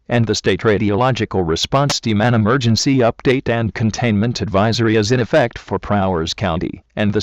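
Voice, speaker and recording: A man's voice: fairly low pitch, no room sound. A man with a synthetic-sounding, formal and impersonal delivery, reading a scripted official emergency broadcast announcement. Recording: dull lo-fi alert audio.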